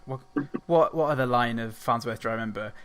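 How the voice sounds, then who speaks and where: A man's voice: mid-range voice, fairly quick, fairly bright tone. A man on a podcast panel, giving opinions in casual discussion.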